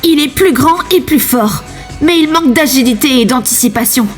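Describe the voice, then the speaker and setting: A woman's voice: sits high, expressive, moderate pace, faint music behind. A woman voicing a clever, analytical young racer in an amateur cartoon fandub, calculating in manner.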